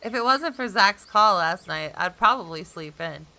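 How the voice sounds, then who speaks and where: A woman's voice: medium pitch, expressive, moderate pace. A woman reading first-person story narration expressively, as a gamer playing through a visual novel. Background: loud game music.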